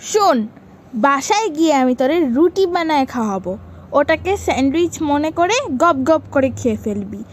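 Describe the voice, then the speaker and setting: A woman plays a stingy cartoon mother with a high, piercing pitch. She nags and argues with a short temper, over clearly audible background noise. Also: expressive, moderate pace. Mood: exasperated scolding. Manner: scolding, nagging, argumentative. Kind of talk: cartoon skit dialogue.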